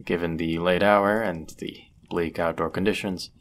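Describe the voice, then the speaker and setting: A man acting a deep-voiced, courteous medieval innkeeper. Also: fairly even intonation, a little hiss or hum, close to an everyday voice. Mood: gentle, courteous. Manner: gentle, polite, measured, formal wording. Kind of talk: intimate roleplay audio acting, formal old-fashioned speech.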